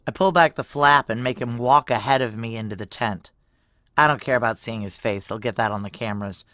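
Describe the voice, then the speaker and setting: A woman playing a gruff, world-weary ex-Ranger security chief in an audio drama, brusque, wry and commanding. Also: extremely low pitch, brisk, a bit bright, slight background noise. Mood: commanding, dry. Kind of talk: naturalistic audio drama acting with first-person narration.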